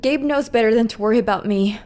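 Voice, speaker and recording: A woman's voice: on the high side, fast talker, a little mellow, lightly acted. A woman voicing a tough captured female agent in a game cutscene, tired and winding down but determined, talking tersely. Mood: weary, determined.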